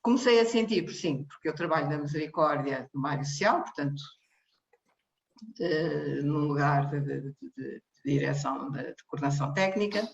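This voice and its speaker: A woman's voice: low voice, moderate pace, creaky. A woman from Cape Verde gives a personal testimony in a hesitant way, circling back with fillers and restarts. Her voice comes through muffled and dull, with a roomy echo around it. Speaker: technical director of a social care charity. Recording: echoey, muffled room sound.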